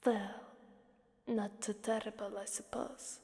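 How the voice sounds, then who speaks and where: A woman voicing a haughty, sadistic female Krampus demon in an ASMR roleplay, soft and often whispering, taunting and commanding. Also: medium pitch, level tone, slow pace. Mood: imperious, sadistic. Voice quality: soft, breathy whispering in many lines. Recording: long cavernous reverb.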